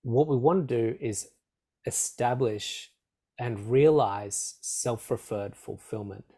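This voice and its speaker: A man with a croaky, tickly throat explaining ideas as a meditation teacher, building emphatic, repetitive lists. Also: medium pitch, slow, mellow. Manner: emphatic, repetitive, list-like phrasing. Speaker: meditation teacher, podcast guest. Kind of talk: explanatory interview talk.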